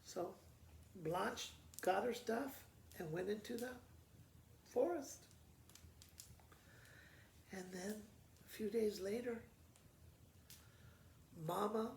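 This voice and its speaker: A man's voice: high voice, takes it slowly, vocal fry, long pauses, darkish tone. A man telling a children's story in a simple, conversational way, informally explaining it as he goes. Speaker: school principal reading to pupils. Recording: roomy echo, faint background noise.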